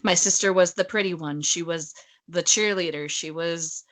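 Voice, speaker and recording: A woman's voice: fairly low pitch, normal speed, fairly bright tone. A woman co-hosting a casual discussion in an informal, conversational way, often saying "like". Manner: informal, peppers speech with "like". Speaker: podcast co-host. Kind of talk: casual chat.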